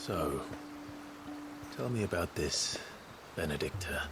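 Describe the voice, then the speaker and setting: An actor playing a grim, determined young warrior in a video game, speaking tersely and seriously. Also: male voice, expressive, slow, over music, exaggerated voice. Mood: sombre, curious. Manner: terse, serious, low-key. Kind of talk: video game cutscene acting.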